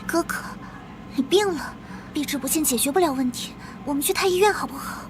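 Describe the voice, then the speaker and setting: A woman's voice: high-pitched, a little hiss or hum, lightly acted. A woman voicing a spirited young princess who teases and defies her brother. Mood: teasing. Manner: playful, defiant, quick retorts. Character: spirited young noble princess, teasing and stubborn. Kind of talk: animated drama dubbing.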